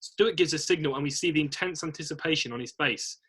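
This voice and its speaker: A man narrates a screenplay in a bright, forward voice that sits a little high. There is a marked huskiness to it as he reads scene headings and stage directions. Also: fast talker, breathy edge. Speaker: narrator of a screenplay read-through.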